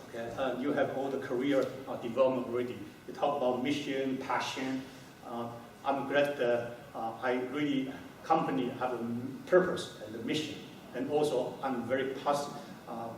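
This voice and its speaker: A middle-aged man giving a conference talk, halting, with many fillers and restarts. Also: medium pitch, a little mellow. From Taiwan. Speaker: company founder giving a talk. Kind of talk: conference talk to a small audience. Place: hotel meeting room, indoors. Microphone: far camera, no handheld mic. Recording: echoey room from far off, noisy.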